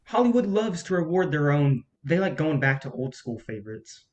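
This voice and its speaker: A man playing a level-headed assistant to a studio boss in a staged play reading, calming and reasoning. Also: a little high, moderate pace, lo-fi sound. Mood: placating.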